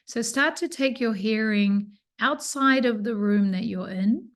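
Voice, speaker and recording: A woman teaching yoga nidra, leading a guided relaxation with soothing, unhurried instructions and pauses. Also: fairly high pitch.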